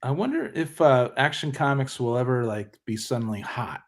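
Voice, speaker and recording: A man co-hosting a casual livestream, reading chat comments, easygoing and quick to laugh. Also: mid-range voice, lively intonation.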